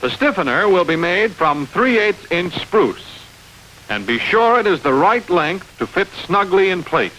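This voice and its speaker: A man reading scripted instructional narration for a training film, explaining step by step in a clear manner. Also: high voice, sing-song, a little slow, sharp tone, poor audio. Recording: hissy old training film soundtrack.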